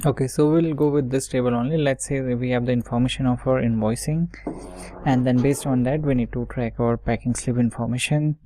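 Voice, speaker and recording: A man's voice: medium pitch, steady tone, fairly quick, dark tone. A man giving an informal step-by-step screen-share coding tutorial, often checking in with short tags and muttering words as he types. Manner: explains step by step, often checking with "okay" and "right". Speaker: software tutorial instructor.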